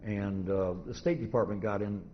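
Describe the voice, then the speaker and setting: Low-voiced American man giving a halting talk. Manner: halting, methodical, anecdotal. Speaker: presenter, old-time radio collector. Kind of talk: informal convention lecture. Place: convention presentation room. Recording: dull, noisy live room recording.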